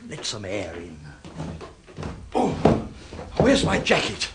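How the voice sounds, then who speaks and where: An actor playing a fussy, polite but prickly older clerk with an English accent in a British radio drama, stiffly formal and hesitant. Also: male voice, on the low side, wildly swooping pitch, slow pace, gravelly, heavy background noise, heavily acted. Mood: prickly, guarded.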